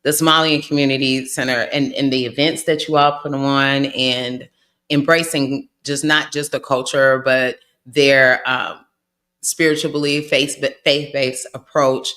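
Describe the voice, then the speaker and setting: An upbeat host leads a relaxed interview, nudging the guest with encouraging remarks. Questions come out chatty and a little meandering. The host turns to the viewers now and then. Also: mid-range voice, measured pace. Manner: upbeat, affirming, addresses viewers directly. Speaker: podcast host. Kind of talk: casual interview hosting.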